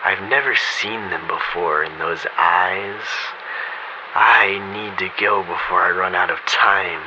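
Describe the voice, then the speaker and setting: A man voicing an unnerved young man drawn to the sea, speaking haltingly and trailing off as if entranced, heard on a muffled tape recording. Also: sits low, fairly even intonation, background noise.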